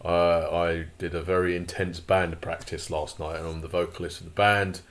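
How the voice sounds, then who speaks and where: Vlog host, male, deep and croaky, rambly and opinionated. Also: flat delivery, moderate pace. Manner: rambling, many fillers and restarts; opinionated, grumbling asides. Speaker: channel host. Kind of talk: casual commentary vlog.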